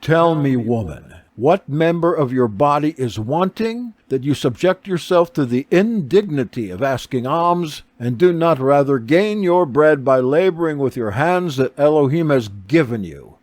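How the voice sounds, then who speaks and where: A man's voice: on the high side, expressive, unhurried, few pauses, no echo. A man voicing a kindly, earnest male religious teacher in an audiobook, with a solemn, questioning manner. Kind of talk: audiobook character reading.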